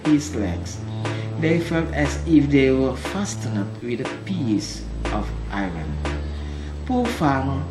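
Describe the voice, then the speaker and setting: Folk tale narrator, deliberate and dramatic, unhurried, with roomy sound over loud music. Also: medium pitch, sing-song. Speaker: storyteller. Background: loud instrumental music.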